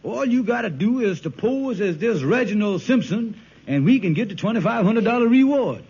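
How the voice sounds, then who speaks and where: A man's voice: sits high, expressive, moderate pace, a little mellow, slightly rough. An actor playing a scheming, broke, blustering married man who stalls and wheedles in ungrammatical talk on an old radio sitcom. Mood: smooth, conniving. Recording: muffled, noisy old radio recording.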